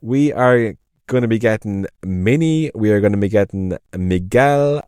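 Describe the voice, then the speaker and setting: Irish male podcast co-host, lower register, eager and meandering delivery. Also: lively intonation, a little slow, a little mellow, clear, resonant tone, no room sound, studio quality. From Ireland. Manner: enthusiastic, rambling, self-correcting. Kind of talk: casual chat.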